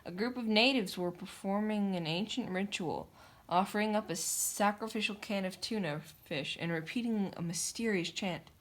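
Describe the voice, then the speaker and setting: A woman's voice: medium pitch, moderate pace. A woman reading a children's picture book aloud, narrating in a theatrical, emphatic way. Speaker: storybook reader. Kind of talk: dramatic children's story narration.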